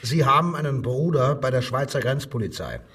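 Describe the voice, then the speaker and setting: A man playing a measured, insistent Nazi-era police inspector who coaxes and probes with authority in a radio crime drama. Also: mid-range voice, animated, a bit bright, husky, recorded from a distance. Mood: probing. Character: controlled, probing male Nazi-era Berlin police inspector. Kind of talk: German radio drama dialogue, interrogation.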